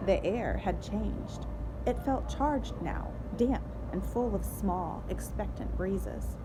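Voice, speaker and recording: A woman reading a storybook aloud expressively, in a noisy home recording. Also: mid-range voice. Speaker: story reader.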